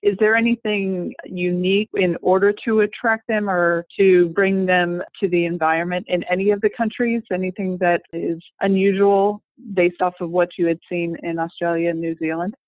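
A woman's voice: on the low side, steady tone, normal speed, few pauses, no echo. A woman hosting a podcast puts her questions to a guest in a friendly, encouraging manner. The recording is dry and close, with a narrow, phone-like tone. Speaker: podcast host. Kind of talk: interview questions. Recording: dry, tinny phone-like line.

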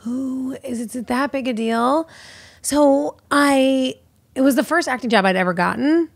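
A woman in her thirties, a podcast guest, chatting in a lively, teasing way, seated in a living room at a boom-arm studio mic. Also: a little slow, no room sound. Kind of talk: casual chat. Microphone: studio mic on boom arm, headphones.

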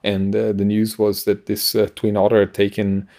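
Middle-aged male podcast co-host, lowish creaky voice, hesitant and conversational. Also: monotone, warm tone. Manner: halting, hesitant delivery with frequent pauses. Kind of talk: casual news discussion over video call. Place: home room, indoors.

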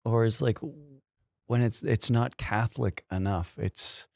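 A man's voice: mid-range voice, steady tone, measured pace, vocal fry, a little mellow, dry recording, studio quality. A man in his fifties hosting a podcast in a casual conversational style, acting out imagined quotes. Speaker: podcast host. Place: small indoor podcast studio. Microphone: close studio mic on stand.

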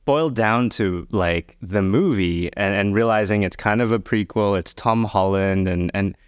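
A man with a slightly low voice talks casually in a panel discussion. His delivery is informal and halting, circling back over his points. The recording is dry and free of room sound. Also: clean tone, no room sound. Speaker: podcast panelist. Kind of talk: casual panel discussion about films and games.

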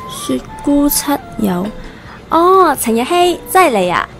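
Actress as emotional young hong kong woman, highish voice, radio drama, bit of music. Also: big pitch swings, measured pace, vocal fry, a bit bright, exaggerated voice. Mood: surprised, apologetic. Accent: Hong Kong Cantonese. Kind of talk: Cantonese radio drama acting.

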